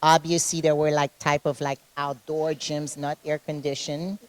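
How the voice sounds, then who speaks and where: A woman's voice: low-pitched, little pitch movement, moderate pace, darkish tone, dry recording. Caribbean-accented woman, chatty and laughing. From Haiti. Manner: laughs often, restarts sentences. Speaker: interview guest, fitness competitor. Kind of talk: casual interview chat. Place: gym.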